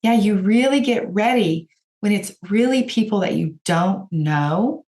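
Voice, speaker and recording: A woman with a raspy voice, hoarse from a cold, hosting a podcast and giving direct, encouraging coaching talk to her listeners. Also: mid-range voice, lively intonation, measured pace, darkish tone. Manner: encouraging, direct, addresses listeners as you guys. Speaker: podcast host and business coach.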